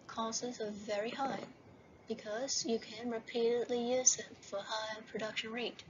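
A woman lecturing over slides for a university class, often checking in with "right?" and slowing down to read slide headings. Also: a little high. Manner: repeats "right?" and "okay?" as checks. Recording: dull lo-fi voice-over with faint hiss.

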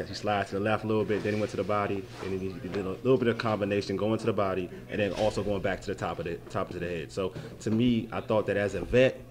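A man giving post-fight boxing analysis into a handheld mic at an indoor arena event, animated and prone to repeating words as he thinks. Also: low voice.

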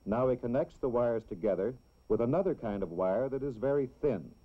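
An American man reads scripted narration in the style of a 1960s educational film, explaining things simply and deliberately for children. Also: mid-range voice, animated. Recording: dull, lo-fi old film soundtrack.

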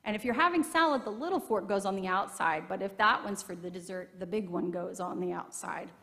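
A woman's voice: medium pitch, fairly quick, gravelly, sharp tone. A middle-aged woman pastor, preaching a sermon from the pulpit in a conversational storytelling way. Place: church sanctuary. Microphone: pulpit microphone. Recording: long reverb from a church sanctuary.

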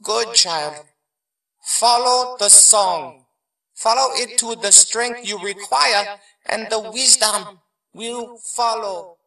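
An actor playing a wise old martial arts master spirit in an audio drama, speaking in a measured, formal, teaching manner. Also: male voice, high voice, sharp tone, reverberant, recorded from a distance, exaggerated voice, rough recording. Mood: firm, instructive.

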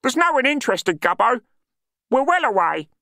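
A man's voice: very high voice, big pitch swings, moderate pace, gravelly, bright, forceful tone, no echo, studio quality, pitched above the performer's own voice, full character voice. A man playing a brash, cheeky, streetwise young man in an audiobook, slangy and urgent. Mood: brisk.

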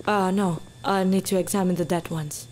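A woman playing a rattled, analytical scientist in an audio drama, speaking haltingly. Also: lowish voice, fairly even intonation, a little mellow, slight background noise, lightly acted. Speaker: scientist character.

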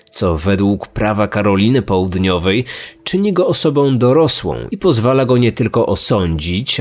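A man reading a scripted true-crime narration as a podcast narrator. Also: medium pitch. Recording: music under the voice. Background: background music.

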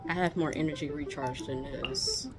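A woman's voice: deep voice, normal speed. A young adult woman giving casual, chatty gameplay commentary with grumbling asides, recorded indoors at home. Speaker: gaming streamer doing reaction commentary. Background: video game music.

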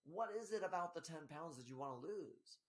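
Man, 30s, solo podcast host, slightly scratchy, higher-set voice, animated office delivery. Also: a little fast. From Spokane area, US. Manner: energetic, gestures a lot, frequent tag "right?". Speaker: podcast host and health coach. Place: small office in front of a banner.

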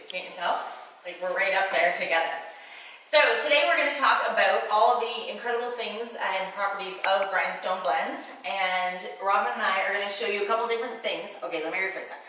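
A woman's voice: mid-range voice, a little fast, bright, forceful tone, slight background noise. A middle-aged woman, a chatty and playful chef, presenting a cooking demo in a large home kitchen. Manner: chatty, self-deprecating, playful. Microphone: camera mic from a distance. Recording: echoey room, voice from far off.

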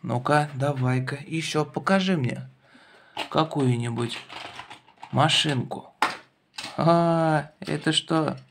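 A man's voice: on the high side, slow, creaky, pauses often. A man hosting a children's toy car show, chatting playfully with a child and prompting with questions. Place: indoors at a wooden table. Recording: faint background noise.

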